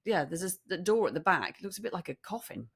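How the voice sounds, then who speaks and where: British woman, podcast co-host, casual chat, very lively intonation, slightly low voice, teasing storyteller. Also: fast talker, creaky, breathy edge. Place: room in central London.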